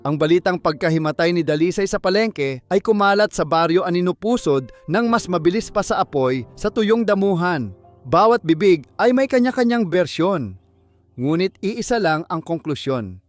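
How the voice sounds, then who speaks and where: Male audiobook narrator, slightly high pitch, dramatic scripted delivery, faint music underneath. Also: expressive, moderate pace, dry recording.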